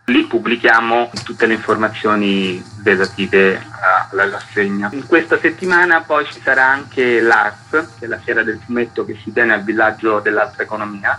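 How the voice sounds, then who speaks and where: A man's voice: on the low side, sharp tone, slight background noise, low-quality recording. A man speaking as a guest artist in a radio interview, explaining his work in detail. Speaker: guest comic book artist and author.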